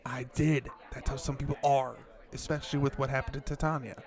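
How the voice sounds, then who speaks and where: A man playing a level-headed, placating cafe worker who reasons with people in a measured way, in an audio drama. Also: medium pitch, flat delivery, a faint crowd, lightly acted. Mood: calm, cautious.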